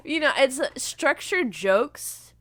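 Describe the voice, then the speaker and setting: A woman's voice: high voice, animated, slow, dry recording. A young woman co-hosting a casual podcast, laughing often and sounding a little nasal from a cold. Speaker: podcast co-host. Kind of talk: casual chat.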